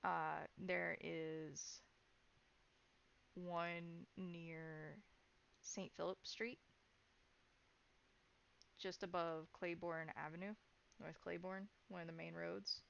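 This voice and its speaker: A woman's voice: lowish voice, level tone, drawn-out words, creaky, pauses often, fairly bright tone, lo-fi sound. A woman running a tabletop horror game as game master, narrating what happens to the players.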